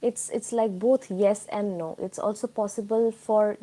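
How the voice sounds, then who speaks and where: Woman, Indian accent, medium pitch, live stream host, casual, informal, explanatory, talking directly to viewers. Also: steady tone, unhurried, dark tone. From Tripura, India.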